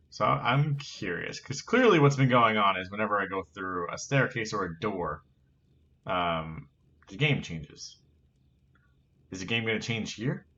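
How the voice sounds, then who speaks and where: A man's voice: on the low side, sharp tone, dull audio, slight background noise. A man commentating a video game, reading the dialogue aloud and joking as he plays. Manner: playful, reads characters in silly voices and jokes. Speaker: let's play host.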